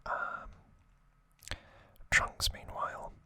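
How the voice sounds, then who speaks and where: Slow, bright whispering man. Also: dry recording. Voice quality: breathy, unvoiced whisper throughout. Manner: soft, unhurried, halting delivery with pauses. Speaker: ASMR host. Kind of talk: whispered ASMR story recap.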